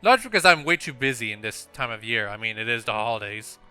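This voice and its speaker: Very bright-voiced man commentating a game. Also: mid-range voice, lively intonation, fast, clear, resonant tone. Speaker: Let's Play host. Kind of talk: casual gameplay commentary. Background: game music.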